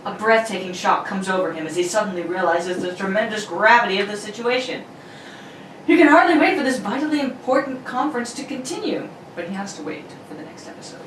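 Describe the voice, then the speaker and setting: A woman's voice: mid-range voice, animated, brisk, recorded from a distance. A woman announcer reading old-time radio serial narration in a theatrical, playful amateur style. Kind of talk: amateur read of 1940s radio narration and sponsor ad. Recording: roomy, off-mic, faint noise.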